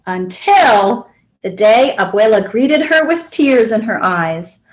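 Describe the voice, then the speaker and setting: A woman's voice: on the high side, big pitch swings, slow, rough recording. A woman reading a children's picture book aloud as a library storyteller.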